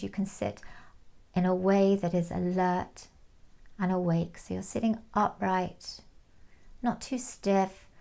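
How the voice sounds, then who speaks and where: A woman leading a guided meditation in a calm, soothing, unhurried manner, with soft phrase endings and long pauses. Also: medium pitch, gravelly. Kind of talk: guided meditation, gentle instruction.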